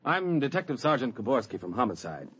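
A husky, blunt police sergeant in an old radio drama. He talks in a folksy street manner, his pitch swinging widely. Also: sing-song, a little fast, a bit bright, exaggerated voice. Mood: breezy. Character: gruff friendly homicide detective sergeant. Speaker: police detective. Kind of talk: 1940s radio acting.

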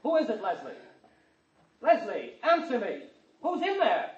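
A man's voice: very high-pitched, wildly swooping pitch, unhurried, exaggerated voice. An actor playing a suspicious, demanding man in an old-time radio thriller, questioning insistently. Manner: insistent, interrogating. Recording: dull, echoey, off-mic old radio recording.

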